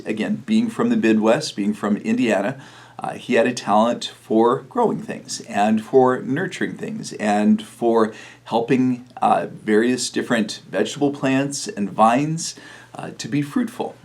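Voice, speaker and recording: A middle-aged male pastor from New Mexico giving a recorded video sermon, telling a story in a relaxed way with fillers and small chuckles. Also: medium pitch, moderate pace. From New Mexico, USA.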